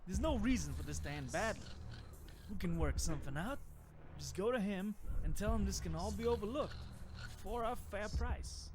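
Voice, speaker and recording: An actor playing a shady, suspicious, mercenary hustler with slangy tough talk in a video game. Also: male voice, high voice, lively intonation, moderate pace, background noise. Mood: wheedling. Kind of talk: video game dialogue acting.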